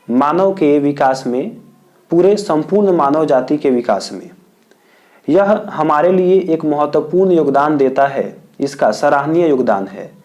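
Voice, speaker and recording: A man from India teaching as a tutor, dictating answers slowly and repeating each point in several wordings. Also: on the high side, dark tone. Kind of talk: exam answer lesson.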